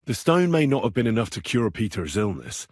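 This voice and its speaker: A man's voice: fairly low pitch, big pitch swings, brisk, no echo, studio quality. An actor playing an earnest, stubborn young medieval man in a video game, speaking in a direct, persuasive way. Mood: earnest persuading. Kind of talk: acted video game dialogue lines.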